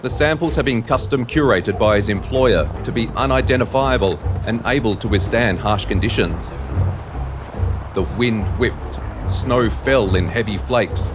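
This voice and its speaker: A man's voice: medium pitch, unhurried, music in the background. A man reading scripted narration for an audio drama.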